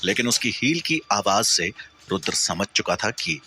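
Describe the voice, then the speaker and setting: A man reads a dramatic horror story with theatrical suspense. His voice sits on the low side but is very bright and forceful. Music plays softly behind him. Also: sing-song, normal speed, breathy edge.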